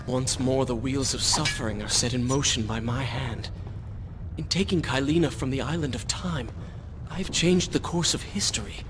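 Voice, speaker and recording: A man's voice: medium pitch, normal speed, close to an everyday voice. Grave young warrior prince played by a man, noisy ambience. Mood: remorseful, grave. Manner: grave, earnest, dramatic. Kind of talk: dramatic game monologue.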